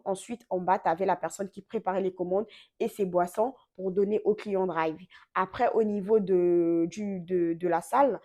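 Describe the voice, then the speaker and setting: Young woman vlogging, french not her first language, warm mellow tone, lots of euh and little restarts. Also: medium pitch. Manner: chatty, full of fillers and self-corrections. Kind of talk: casual storytelling to camera.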